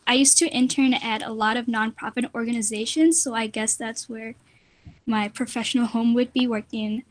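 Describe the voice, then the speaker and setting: Woman, young adult, raised pitch, informal group introduction. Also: steady tone, moderate pace. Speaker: former nonprofit intern.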